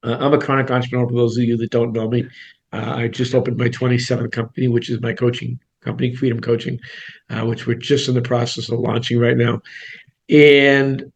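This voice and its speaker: A man's voice: medium pitch, flat delivery, fairly quick, gravelly. A man in his sixties, a real estate coach, giving a blunt, conversational webinar talk with self-deprecating asides. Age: 60s. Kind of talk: webinar presentation.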